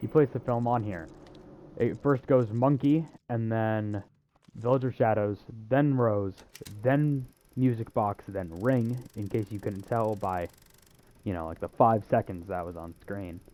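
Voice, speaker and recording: A man's voice: fairly low pitch, measured pace, warm tone, rough recording. A man giving a casual video game walkthrough in an offhand way, with wry asides. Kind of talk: casual gameplay walkthrough commentary.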